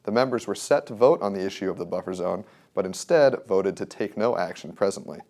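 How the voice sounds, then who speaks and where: A man's voice: sits low, fairly quick, darkish tone. An American man reading a scripted local news report as a reporter.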